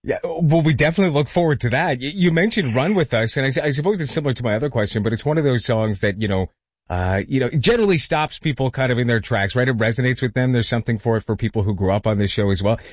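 Fast-talking male interviewer. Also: mid-range voice, no echo, highs cut off. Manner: rambling, hedging questions. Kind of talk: interview questions.

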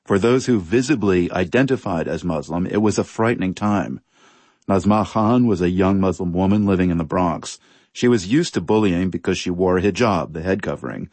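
A man presenting a news feature in scripted, measured narration. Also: sits low, a little mellow, no echo.

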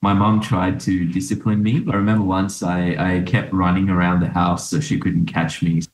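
A man's voice: deep voice, level tone, moderate pace, roomy sound, rough recording. A man from Sri Lanka, a doctor, giving reflective interview answers with many fillers and restarts. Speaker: podcast guest, doctor.

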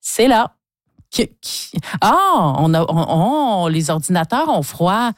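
A Quebec woman hosts live morning radio in a conversational, unscripted way. Her voice sits fairly low, with a Quebec French accent. The sound is dry, with very little room around it. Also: sing-song, takes it slowly, dry recording. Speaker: radio morning show host.

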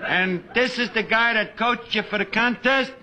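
A man's voice: very high voice, moderate pace. An actor playing a brash, wisecracking tavern manager in a 1950s radio comedy, fumbling his big words. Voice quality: brash, malaprop-prone. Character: wisecracking male tavern manager, fast-talking, bossy. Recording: hissy old radio recording.

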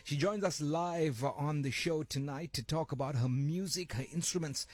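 A man's voice: fairly high pitch, moderate pace, a little mellow, husky. A South African man hosting a radio show, upbeat and enthusiastic as he introduces and interviews a guest. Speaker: radio host. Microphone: studio microphone.

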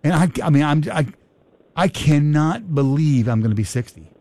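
A man's voice: on the high side, expressive, moderate pace, a little mellow. A man in his late fifties hosting a call-in radio show, teasing callers and rambling with many restarts. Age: 50s. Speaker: radio talk show host. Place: radio studio. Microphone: studio microphone.

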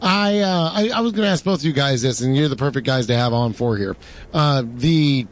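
A man with a raspy voice hosting casual sports talk radio, steering the conversation with quick questions and banter. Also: mid-range voice. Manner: gravelly, banter-driven, quick asides.

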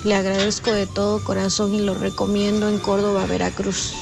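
A woman in her thirties gives an earnest testimonial. Her delivery is flat and gravelly. Music runs clearly beneath her. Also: mid-range voice, a little fast. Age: 30s. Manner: earnest, sincere. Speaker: testimonial speaker in ad. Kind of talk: read testimonial. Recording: radio ad with music bed.

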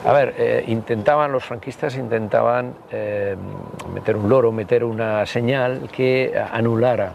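A man in his sixties with a Basque Spanish accent, rambling and halting as he casually tells anecdotes in an interview. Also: mid-range voice, fairly even intonation, gravelly, darkish tone, lo-fi sound, a little hiss or hum. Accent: Spanish from the Basque Country. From Basque Country, Spain. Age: 60s. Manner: rambling, halting, anecdotal, peppered with tag questions. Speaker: interview guest, political party member.

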